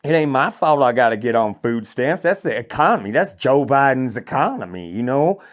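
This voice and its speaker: A man's voice: mid-range voice, very animated, moderate pace, lightly acted. A man playing an angry hillbilly, delivering an indignant, profane, rambling comedic rant. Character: angry rural hillbilly man ranting about politics. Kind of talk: satirical comedic monologue.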